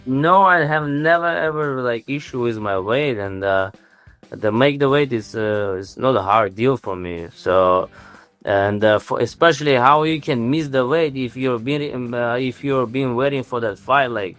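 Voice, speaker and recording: A young man, a native Armenian speaker, answering interview questions in halting English full of fillers like "uh" and "like". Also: medium pitch, fairly bright tone, faint music behind. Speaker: interviewed fighter.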